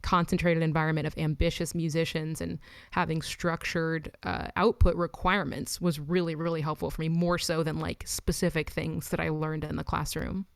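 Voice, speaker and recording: A woman's voice: low voice, level tone, moderate pace, creaky, clean tone, dry recording, studio quality. A woman in her twenties, chatting casually in an interview with lots of "like" and "um". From Los Angeles. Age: 20s. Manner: chatty, frequent "like" and "um", laughs between thoughts. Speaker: musician guest.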